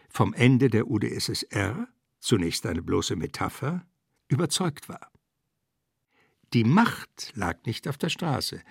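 A man narrating literary prose in a deliberate, emphatic way, breaking sentences into short phrases. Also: medium pitch, gravelly, slightly rough, no room sound, clean studio sound.

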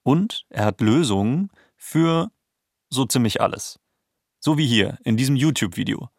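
A man's voice: medium pitch, lively intonation, moderate pace, gravelly, a little mellow. Man narrating in an echo-free-sounding studio style. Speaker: documentary podcast host. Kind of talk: scripted narration.

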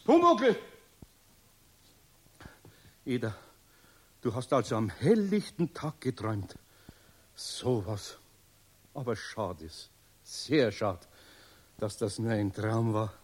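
An actor playing a kindly, flustered older master carpenter in a children's radio play, with a husky voice and Bavarian accent, often muttering or exclaiming. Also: male voice, fairly low pitch, very animated, slow, pauses often, heavily acted. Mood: searching, anxious. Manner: muttering to himself, hesitant, exclaiming.

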